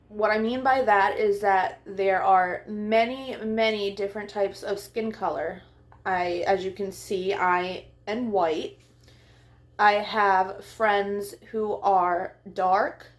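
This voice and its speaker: A woman hosting a children's storytime, speaking in simple, deliberate phrases meant for young listeners. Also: medium pitch. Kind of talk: read-aloud and talk addressed to young children.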